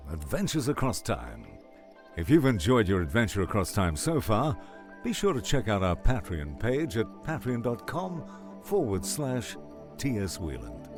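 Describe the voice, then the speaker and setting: A male voice actor delivering a scripted promotional announcement. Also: medium pitch. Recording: music behind the voice.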